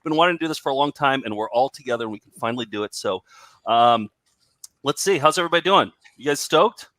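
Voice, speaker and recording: A man hosts a loose group chat with a bright, forward voice. He is excitable and jokey, speaking casually. The sound is dry and close. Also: mid-range voice, fast, no room sound. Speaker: host of a cartoon comedy show.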